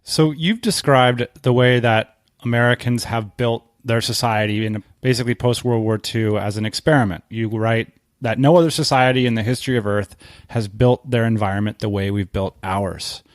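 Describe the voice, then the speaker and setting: A man's voice: medium pitch, normal speed, no room sound, clean studio sound. A man hosting a podcast, reading prepared questions and promotional copy in a scripted style. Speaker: podcast host and interviewer. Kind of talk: scripted podcast interview questions and sponsor read.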